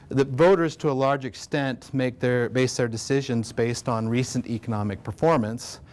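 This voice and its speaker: An American man delivering an academic talk at a conference. His delivery is relaxed and unpolished, full of fillers and self-corrections. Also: medium pitch. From United States. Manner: relaxed, many fillers and restarts. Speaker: conference presenter. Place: conference room.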